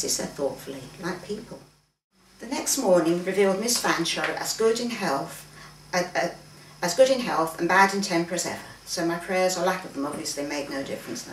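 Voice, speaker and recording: A woman reading her own fiction aloud expressively at a live event in a home, acting out dialogue and occasionally stumbling over words. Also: on the low side. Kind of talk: expressive story reading from a manuscript. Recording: roomy, distant mic, faint background noise.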